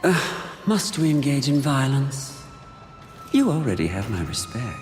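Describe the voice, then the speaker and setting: A man's voice: mid-range voice, big pitch swings, unhurried. A man playing a silky, smug demonic tempter in a video game, languid, theatrical and taunting in his philosophizing. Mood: placating, silky. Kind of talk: dramatic video game cutscene acting. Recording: cavernous reverb, music behind.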